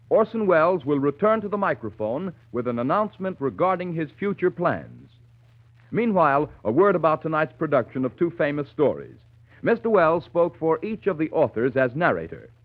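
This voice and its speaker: A man with an American accent reading formal scripted announcements as a 1930s radio announcer. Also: on the high side. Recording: thin, narrow vintage 1930s radio broadcast.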